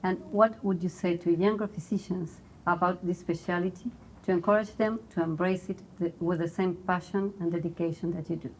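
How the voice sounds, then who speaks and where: A woman's voice: on the low side, steady tone, a little fast, a little mellow, a little hiss or hum, poor audio. A woman interviewing a guest at a conference, asking formal questions as if reading them out.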